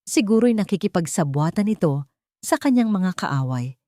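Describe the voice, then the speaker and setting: Lady telling a dramatic story, lowish voice, dry studio-like sound. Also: expressive, moderate pace, mellow, no room sound. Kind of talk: dramatic scripted story narration.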